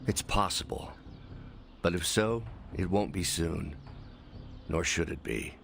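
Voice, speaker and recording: A gravelly, remorseful scientist. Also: male voice, low voice, background noise, heavily acted. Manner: quiet, halting, soft-spoken. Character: remorseful gentle male scientist hero. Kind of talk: video game cutscene acting.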